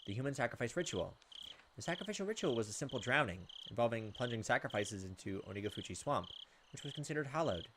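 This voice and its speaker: A man's voice: mid-range voice, animated, quick pace, fairly bright tone, slight background noise. A man reading visual novel text aloud as a let's player, with casual asides. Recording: game music behind the voice. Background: video game music.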